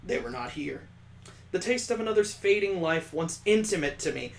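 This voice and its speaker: A man voicing a detached, world-weary young heroine narrating a visual novel in a measured, dramatic reading. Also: sits high, expressive, vocal fry. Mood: melancholy. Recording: echoey, roomy sound.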